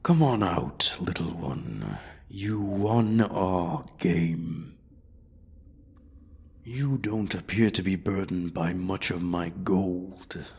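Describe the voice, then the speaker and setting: A man voicing a menacing, condescending dragon who taunts human prey in a fantasy ASMR roleplay, husky and breathy. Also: low voice, expressive, very slow, a little mellow, exaggerated voice. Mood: smug coaxing.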